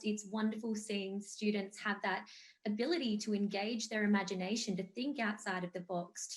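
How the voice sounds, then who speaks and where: Enthusiastic woman on a video-call panel. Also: mid-range voice. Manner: enthusiastic, fluent with fillers. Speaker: panelist, game company founder. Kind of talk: online panel discussion.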